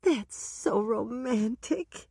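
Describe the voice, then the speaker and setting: A woman voicing an excitable, romantic bride who speaks high and gushing. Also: very slow, creaky, breathy edge, dry recording, clean studio sound, heavily acted. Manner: bright, gushing.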